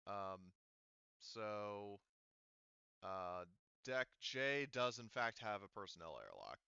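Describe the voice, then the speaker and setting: A man acts as game master in a casual tabletop session, with a lowish, clear and bright voice. He explains the options and thinks aloud, hesitating as he works them out. The sound is close and dry. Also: big pitch swings, slow pace, no echo, dull audio. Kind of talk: casual tabletop game narration and rules talk.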